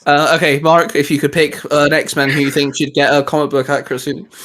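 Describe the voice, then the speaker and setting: A man's voice: a little high, little pitch movement, fairly quick, a bit bright. A man hosting a casual, geeky panel chat, speaking informally and sometimes swearing. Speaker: host.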